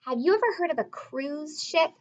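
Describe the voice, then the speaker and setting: A woman's voice: high-pitched, animated, normal speed, highs cut off. A woman teacher reading aloud to young children, explaining as she goes, asking questions and repeating key words in an instructive way.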